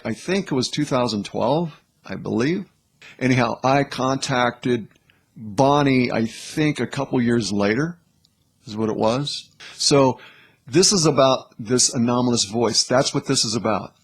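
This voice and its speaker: A man hosting in a casual, informal way, talking to viewers in a rambling, slightly hesitant manner. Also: medium pitch. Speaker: host presenting clips.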